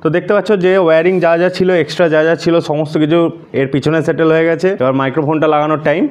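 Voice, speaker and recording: Man, rapid pace, somewhat high voice, casual tutorial narration, English words mixed in. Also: little pitch movement. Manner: explanatory, mixes English words into speech. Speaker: host of a motorcycle gear tutorial.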